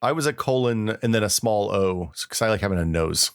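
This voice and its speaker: A man's voice: fairly low pitch, a little fast, smooth. A man in his forties co-hosting a podcast, chatting casually with a teasing, inquisitive manner. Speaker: podcast co-host. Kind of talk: casual chat.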